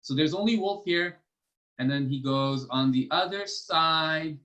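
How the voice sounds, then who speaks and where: A man's voice: fairly high pitch, unhurried, sharp tone, lo-fi sound. A man hosting a children's storytime and trivia over a video call, explaining step by step in a patient, encouraging way. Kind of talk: casual teaching to children.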